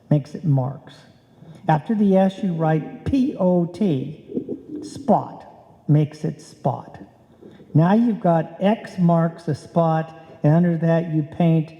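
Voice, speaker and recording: A woman playing a dry, scheming, long-suffering husband in an old-time radio comedy. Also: lowish voice. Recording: muffled, reverberant hall.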